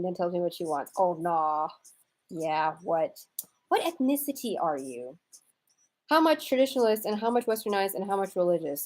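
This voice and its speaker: A woman's voice: fairly low pitch, darkish tone. An American woman from Houston chatting casually as a live-stream host, reading out and answering viewer comments in a wry, irreverent, slangy way. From United States, raised in Houston, Texas.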